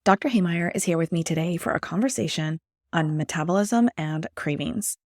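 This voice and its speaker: Woman host, weight loss coach, slightly croaky from a cold, lowish voice, dry close mic, chatty interview tone. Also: a little fast, darkish tone, dry recording. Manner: chatty, enthusiastic, with many fillers. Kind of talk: podcast interview.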